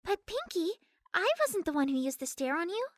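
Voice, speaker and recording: A woman voicing a gentle, timid young cartoon pegasus pony in a hesitant, earnest way. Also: very high voice, big pitch swings, background noise. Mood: awkward, apologetic. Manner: hesitant, halting, softly earnest.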